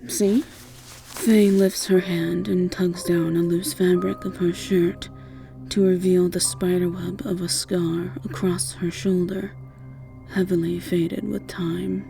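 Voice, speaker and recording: A woman's voice: on the low side, level tone, takes it slowly, creaky, dark tone, soft background music. A woman with an English accent narrating a scripted second-person story. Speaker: narrator and voice actor.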